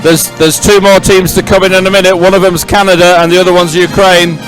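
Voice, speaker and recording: High-pitched male live sports commentator. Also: flat delivery, a little fast, faint music behind. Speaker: event commentator and presenter.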